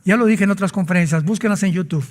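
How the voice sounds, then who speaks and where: Pastor guy preaching, fairly high voice, keeps asking "right?", big echoey hall. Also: fast. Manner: emphatic, rhetorical questions, frequent "¿verdad?". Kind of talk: sermon.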